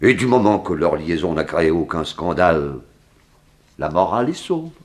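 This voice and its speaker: Deep-voiced, flustered small-town sheriff. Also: male voice, sing-song. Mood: awkward, placating. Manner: hesitant, drawn-out, exclaiming. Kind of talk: 1960s French radio drama acting.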